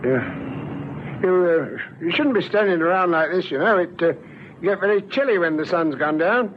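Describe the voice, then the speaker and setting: Casting: stammering, kindly, anxious male caretaker, animated intonation, muffled vintage radio drama with faint hiss. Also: high-pitched, normal speed. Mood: awkward, concerned. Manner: hesitant, stammering, coaxing. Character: nervous, well-meaning married male block caretaker. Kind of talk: old radio drama acting. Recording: muffled old radio recording, faint noise.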